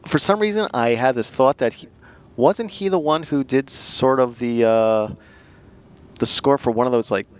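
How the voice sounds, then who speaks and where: Male podcast host, middle-aged, conversational and halting, average pitch, slightly lo-fi sound. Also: smooth. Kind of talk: casual podcast discussion.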